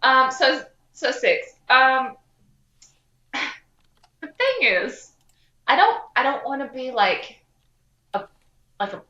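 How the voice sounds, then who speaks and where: A woman voicing a fibbing little girl adventurer in a tabletop game, pleading and bluffing haltingly, in a roomy, slightly dull recording. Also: sits high, very animated, bright, forceful tone, pitched above the performer's own voice, heavily acted. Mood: evasive.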